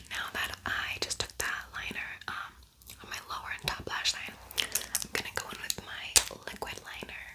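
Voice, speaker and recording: Whispering woman in a casual ASMR tutorial. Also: low-quality recording. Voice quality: breathy unvoiced whisper. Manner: soft, intimate, repeats words. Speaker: ASMR makeup tutorial host.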